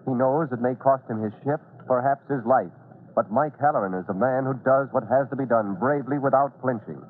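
A man's voice: mid-range voice, fairly quick. Old-time radio announcer guy, dramatic narration, thin tinny sound, bit of hiss. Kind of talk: dramatic scripted 1940s radio narration for children. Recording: narrow-band, slightly noisy 1940s radio recording.

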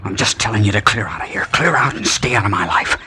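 An actor in a 1950s radio western playing a bitter, hot-headed young prospector, blunt and quick to anger. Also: male voice, on the low side, expressive, bright, forceful tone, husky, exaggerated voice. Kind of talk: 1950s radio drama acting. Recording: old radio recording with hiss.

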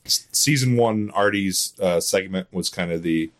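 A man with a slightly low-pitched voice talks as an interview guest. His delivery is casual and conversational, like someone explaining a creative hobby off the cuff. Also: a little slow. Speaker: podcast guest, audio drama creator and voice actor. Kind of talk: casual unscripted interview chat.

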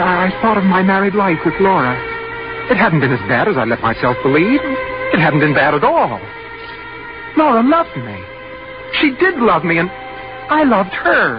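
An actor playing an anxious, remorseful husband in a 1940s radio suspense drama, stammering and overwrought as he narrates. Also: medium pitch. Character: anxious, remorseful husband confessing a murder plan. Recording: dull 1940s radio recording, loud music.

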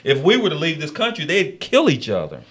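A man's voice: on the high side, huge pitch swings, brisk, a bit bright, slightly rough. A man on a talk radio panel speaking casually, rambling and repeating himself, often checking that listeners follow. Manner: rambling and repetitive, keeps asking "you see what I'm saying".

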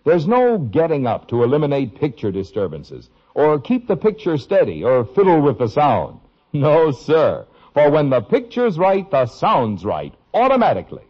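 Husky, swooping American radio pitchman. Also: wildly swooping pitch, moderate pace. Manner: emphatic, salesmanlike. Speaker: commercial announcer. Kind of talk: 1950s radio advertising pitch. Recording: dull old radio recording.

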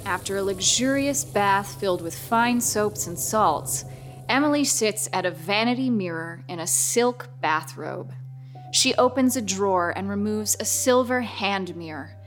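A woman reads as a solemn female narrator of a scripted horror drama. She has a medium-pitched voice and tells the tale slowly, with measured suspense. Music runs clearly underneath. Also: animated, close to an everyday voice. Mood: solemn, suspenseful.

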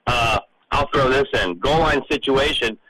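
A man's voice: medium pitch, moderate pace, low-quality recording. A man calling in to a radio show as a football reporter, giving casual commentary. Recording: radio call-in with crowd noise behind.

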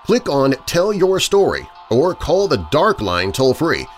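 Podcast host guy reading his outro, very lively pitch, mid voice, bit of music behind. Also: unhurried.

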